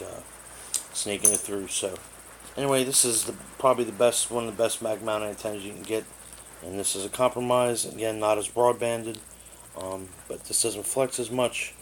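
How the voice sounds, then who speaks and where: A man giving a casual hands-on product overview in a rambling, matter-of-fact way, recorded indoors on a tablet microphone. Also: lowish voice. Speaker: product reviewer. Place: living room indoors. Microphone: tablet's built-in microphone. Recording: noisy amateur tablet recording.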